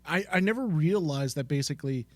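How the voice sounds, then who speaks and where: A man's voice: a little high, lively intonation, fairly quick, dry recording. A man co-hosting a podcast, speaking casually and enthusiastically. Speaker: podcast co-host.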